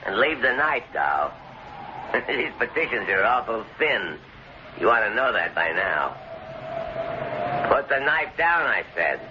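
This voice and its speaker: A man's voice: on the low side, big pitch swings, measured pace, pauses often. An actor playing a menacing, mocking man holding captives, in the style of an old-time radio drama. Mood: taunting menace. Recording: noisy, dull old-time radio recording.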